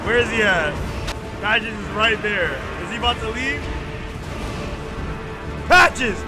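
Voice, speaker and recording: A young American man giving casual, excitable let's-play commentary, slangy and repeating his phrases. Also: high-pitched, slow pace. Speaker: gaming YouTuber. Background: game music.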